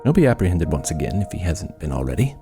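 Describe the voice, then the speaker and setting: An actor playing an aloof, obsessive scientist in a horror audio drama, speaking formally and dismissively. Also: male voice, extremely low pitch, very animated, fast, music in the background, exaggerated voice.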